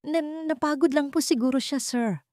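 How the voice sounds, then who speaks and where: A woman voicing a timid, deferential young nanny in an audio drama, halting and apologetic. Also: sits high, a little mellow, no echo, studio quality, pitched above the performer's own voice, natural voice. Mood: nervous, apologetic.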